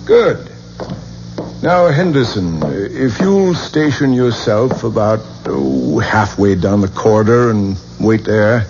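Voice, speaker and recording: An actor playing a commanding, probing professor who runs occult experiments, speaking deliberately in a 1970s radio drama. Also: male voice, medium pitch, expressive, creaky. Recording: dull, noisy 1970s radio recording.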